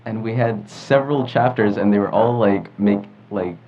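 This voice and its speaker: A man's voice: on the low side, normal speed, soft background music. A teenage boy from New York co-hosting a podcast in casual, slangy chat. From New York, United States. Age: teens. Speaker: teen podcast co-host. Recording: muffled audio, clear background noise.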